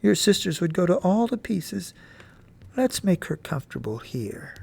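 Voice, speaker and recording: A man reading a story aloud, voicing a gentle, patient mother who speaks softly and slowly to comfort her son. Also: high voice, sing-song, vocal fry, husky, pitched above the performer's own voice, heavily acted. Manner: soft, slow and soothing.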